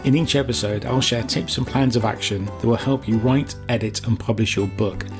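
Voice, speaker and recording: A man's voice: mid-range voice, moderate pace, over music. A man with a British accent presenting a scripted writing lesson as podcast host, with chatty asides. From Essex, England.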